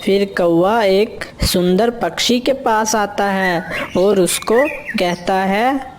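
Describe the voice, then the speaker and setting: A woman's voice: mid-range voice, slow pace, slight background noise. A woman narrator reading a scripted children's story.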